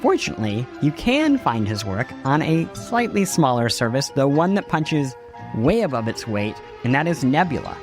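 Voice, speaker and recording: A man's voice: medium pitch, big pitch swings, moderate pace, music in the background. A man hosting a podcast, reading scripted narration in an articulate, emphatic way. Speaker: podcast host.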